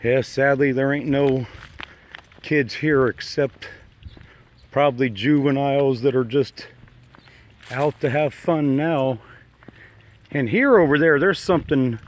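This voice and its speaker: Male explorer vlogger, mildly congested froggy voice, unhurried folksy off-the-cuff remarks, some noise behind. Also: mid-range voice. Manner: halting, musing, folksy. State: slightly froggy from allergies. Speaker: urban exploration video maker. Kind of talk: casual unscripted walk-through commentary. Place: walking around an abandoned park site.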